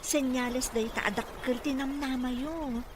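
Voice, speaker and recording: A woman's voice: sits high, steady tone, measured pace, noisy background. A woman playing a devoted, respectful therapist to a rich boss in an Ilocano radio drama. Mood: encouraging.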